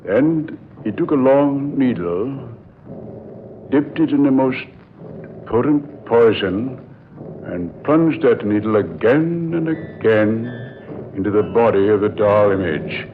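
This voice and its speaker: An actor playing a grave doctor who calmly unveils a deadly secret in an old-time radio drama, with measured, deliberate storytelling. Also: male voice, mid-range voice. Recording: muffled, noisy old radio recording.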